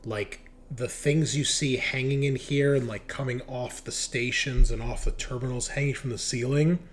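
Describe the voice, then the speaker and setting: A man narrating a tabletop roleplaying game as game master, describing scenes in a halting, improvised way. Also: mid-range voice, fairly even intonation, a little hiss or hum.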